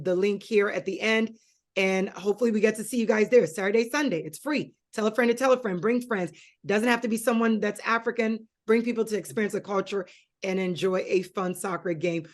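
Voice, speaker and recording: A woman hosting an interview show, coaxing her guest through a guessing game with patient hints. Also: medium pitch. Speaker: show host.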